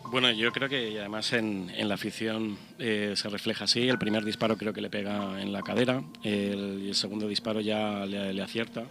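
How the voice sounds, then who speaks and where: A man with a Spain Spanish accent co-hosting a true-crime radio discussion, haltingly repeating words as he goes. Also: lowish voice, flat delivery, vocal fry, background noise. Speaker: radio show co-host. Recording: music under the voice. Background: background music.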